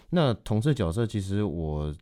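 Man, Taiwanese Mandarin accent, low-set voice, dark soft tone, reflective interview guest, conversational explanations. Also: sing-song, slow pace, dry recording, studio quality. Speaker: actor, podcast guest.

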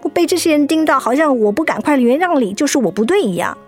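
A feisty young Taiwanese woman in a radio drama, with a high voice over music. She scolds and nags in a blunt, exasperated way, though she is soft underneath. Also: lively intonation, fast talker. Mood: nagging. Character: feisty, scolding, softhearted young woman, hurt best friend. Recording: music behind the voice.

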